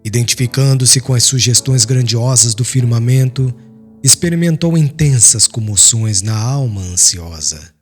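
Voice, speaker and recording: A man's voice: medium pitch, unhurried, slightly rough. A man with a Brazilian accent reads literary narration solemnly, as an audiobook narrator, over music. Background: soft music.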